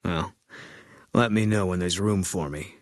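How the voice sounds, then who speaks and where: An actor playing a weary ex-sniper soldier in a video game, speaking in clipped, flat lines with a husky voice. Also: male voice, low voice, measured pace, no room sound, clean studio sound. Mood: terse. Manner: clipped, flat, few words. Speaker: game companion character. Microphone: dry studio mic.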